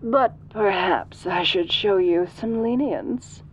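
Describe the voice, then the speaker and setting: A woman voicing an ancient, godlike alien in a soft-voiced audio roleplay, sinister, seductive and imperious, speaking formally and silkily. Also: medium pitch, dull audio, slight background noise, close to an everyday voice. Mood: coaxing, haughty. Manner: slow, imperious, formal, silky.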